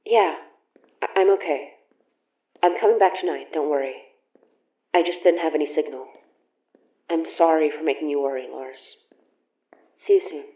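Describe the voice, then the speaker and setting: An actress playing a friendly young woman in an audio drama, talking in a casual, halting, conversational way. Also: sits low, natural voice. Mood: casual, sheepish. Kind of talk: naturalistic audio drama acting. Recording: roomy echo, dull lo-fi sound.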